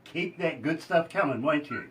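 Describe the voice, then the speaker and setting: A man with a husky, somewhat hoarse voice hosts a radio interview, pitched a touch high. He gushes and chats warmly with his guest, laughing between lines. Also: animated, normal speed. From New Zealand. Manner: effusive, chatty, laughs often. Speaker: radio host.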